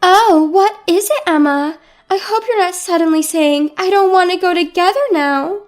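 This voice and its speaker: A woman's voice: extremely high pitch, moderate pace, faint music behind, voice pushed up. A woman voicing a pushy, smug older mother-in-law with a haughty, guilt-tripping manner in a dramatized story. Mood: sharp, smug. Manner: haughty, artificially wounded, scolding.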